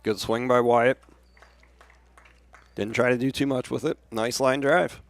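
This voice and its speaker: A man's voice: mid-range voice, steady tone, unhurried. An American man giving conversational color commentary and analysis during a live baseball broadcast.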